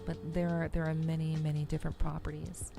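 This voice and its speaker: Low-voiced woman streamer chatting casually. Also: little pitch movement, brisk, gravelly, music in the background. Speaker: VTuber streamer. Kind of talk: casual livestream chat.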